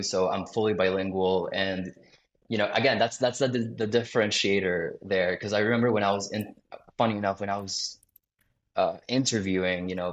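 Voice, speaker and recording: Easygoing young man in an echoey room. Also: fairly low pitch. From South Florida. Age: young adult. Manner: rambling, filler-heavy, many restarts. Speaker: sales director, podcast guest. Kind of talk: casual interview talk.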